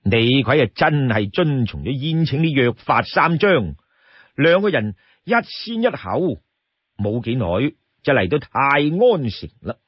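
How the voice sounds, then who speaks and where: A man's voice: medium pitch, big pitch swings, a little slow, husky, dry recording, muffled recording. A man narrating a tale as a storyteller, in a dramatic scripted style.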